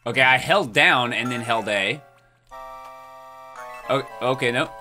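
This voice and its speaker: A man's voice: medium pitch, unhurried, bright, forceful tone, soft background music. A man co-hosting a let's play, chatting casually and yelping and laughing when he misses jumps. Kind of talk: casual gameplay banter.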